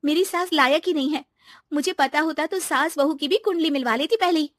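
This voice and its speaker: An earnest, aggrieved young daughter-in-law played by a woman in a cartoon. Her voice is very high and complaining, with a dry sound. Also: a little fast, fairly bright tone, dry recording. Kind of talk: animated cartoon dialogue in Hindi.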